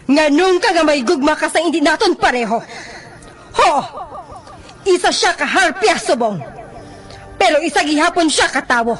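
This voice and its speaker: Stern fantasy queen, high pitch, emphatic motherly reproach, radio melodrama. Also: expressive, normal speed, long pauses, fairly bright tone. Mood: stern, worried. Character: stern, protective harpy queen, mother of the princess. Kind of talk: radio drama acting.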